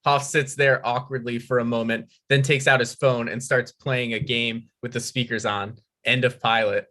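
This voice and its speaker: A man with a bright, forward voice hosts a comedy table read. He narrates screen directions and talks informally to introduce the material. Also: mid-range voice, little pitch movement, normal speed. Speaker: table read host, stage-direction reader and performer.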